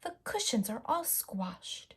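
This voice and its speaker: Storybook mother bear, played by a woman: gentle, soft, raised pitch, mildly dismayed. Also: sing-song, takes it slowly, husky, in a whisper, heavily acted. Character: soft-spoken, dismayed middle-sized mommy bear.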